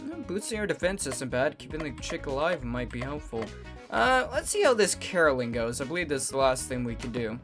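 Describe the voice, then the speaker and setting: A man narrating a let's play, dry and sarcastic, sounding exasperated with the game as he reads its text aloud. His pitch moves in a lively, animated way, and soft game music plays behind him. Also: brisk. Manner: sarcastic, exasperated, swears casually. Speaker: gaming channel host.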